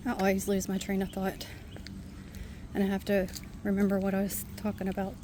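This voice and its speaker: A soft-spoken woman with a quiet voice, chatting casually to her viewers while walking outdoors in a park. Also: mid-range voice, monotone, a little fast, background noise. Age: adult with a son. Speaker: vlogger. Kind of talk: casual walking vlog chat.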